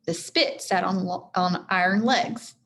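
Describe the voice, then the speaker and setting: A woman reading a children's chapter book aloud in an expressive teacherly style, speaking directly to her young listeners. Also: fairly low pitch, a little slow. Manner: addresses young listeners directly, acts out dialogue.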